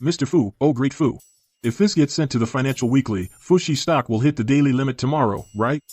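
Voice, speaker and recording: A man's voice: mid-range voice, fairly quick, darkish tone, no room sound, soft background music, close to an everyday voice. Man acting mocking, exasperated bachelor friend.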